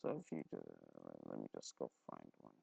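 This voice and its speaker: A man hosting a casual software tutorial, thinking aloud informally with mild exclamations. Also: a bass voice, wildly swooping pitch, fairly quick, gravelly, noisy background. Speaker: tutorial host.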